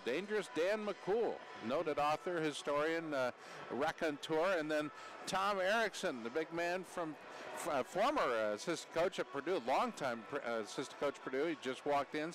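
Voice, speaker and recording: Halting American sports radio host. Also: male voice, on the high side, a bit bright. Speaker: radio host and play-by-play broadcaster. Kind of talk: live sports broadcast chat. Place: indoor sports arena. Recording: noisy arena ambience.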